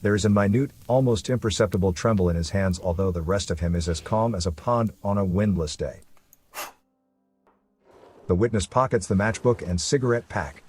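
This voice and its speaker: A man's voice: sits low, normal speed, darkish tone, slight background noise. A man narrating a suspense story from a script as the narrator of an audio drama. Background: faint music.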